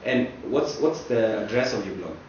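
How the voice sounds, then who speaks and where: A man's voice: on the low side, expressive, moderate pace, a little mellow. A man doing a casual interview, hesitating and repeating words as he forms his questions. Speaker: interviewer, social media professional. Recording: roomy echo, distant mic, dull, faint noise.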